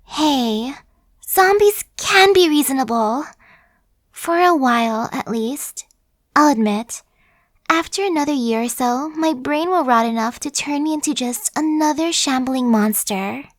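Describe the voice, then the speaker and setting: A woman's voice: high-pitched, expressive, unhurried, no echo, studio quality. A woman voicing a chatty, friendly female zombie who coaxes and persuades in a casual, sly way, in an ASMR roleplay. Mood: persuasive.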